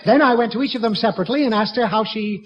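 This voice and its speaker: A man playing a blustering, grouchy middle-aged office boss in a 1940s radio comedy, gruff and exasperated. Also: high voice, racing. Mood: boastful. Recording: dull old radio recording, loud audience.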